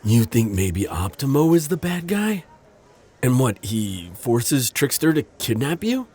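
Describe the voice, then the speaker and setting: A man acting a low, husky, caring friend. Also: darkish tone, exaggerated voice. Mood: puzzled, curious. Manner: stammering, earnest, concerned. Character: gentle, protective male civilian friend, hesitant and caring. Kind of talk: naturalistic audio roleplay drama acting.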